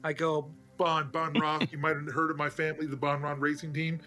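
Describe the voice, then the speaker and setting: A man's voice: a little high, monotone, a little fast, pushed and bright, faint music behind. A man playing a tabletop game, chatting in character in an easygoing, chummy way. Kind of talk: casual in-character roleplay chat.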